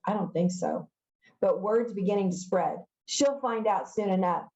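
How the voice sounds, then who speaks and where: A woman's voice: mid-range voice, normal speed, a little mellow, roomy sound, natural voice, low-quality recording. A woman reading the part of a pushy, sneering middle-school newspaper editor boy in a storybook, insistent and sarcastic. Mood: urgent.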